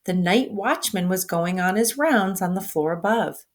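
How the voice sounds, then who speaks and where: Teacher reading a picture book aloud to children, expressive, engages the listener with questions. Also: female voice, on the low side, a little slow.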